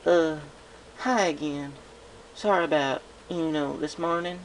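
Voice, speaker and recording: A man voicing a small, earnest filly, a little sister pony, in a storybook reading. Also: a little slow. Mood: sheepish. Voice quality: twangy, high and thin. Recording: noisy home recording.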